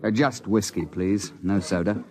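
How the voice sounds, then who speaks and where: A blunt British big-game hunter of middle age, played by a male radio actor, with a low voice. He talks in a clipped, no-nonsense way, like a seasoned storyteller in a 1950s radio play, with music behind. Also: a little slow, darkish tone, close to an everyday voice. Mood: brisk, firm. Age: middle-aged. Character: brisk, blunt veteran British big-game hunting guide. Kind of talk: 1950s radio drama acting.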